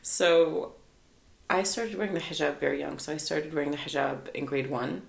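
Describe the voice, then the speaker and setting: A young woman from Canada chatting as a podcast guest, her voice low and gravelly with a chatty, filler-heavy manner. She laughs easily. The room around her rings with echo. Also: steady tone, normal speed. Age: 20s. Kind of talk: casual podcast chat.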